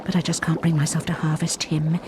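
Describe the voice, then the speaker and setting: A woman's voice: sits low, little pitch movement, fairly quick, noisy background, natural voice. A woman voicing an eccentric, doting, volatile witch in a game cutscene, theatrical and given to swings between murmurs and shrieks. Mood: doting, wheedling. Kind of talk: animated game cutscene acting.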